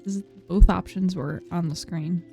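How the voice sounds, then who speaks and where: A woman's voice: on the low side, steady tone, unhurried, vocal fry, dark tone. A woman giving casual, playful commentary on a game playthrough, muttering to herself as she goes. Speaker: game streamer. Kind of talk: casual playthrough commentary, sometimes reading game lines aloud. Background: game music.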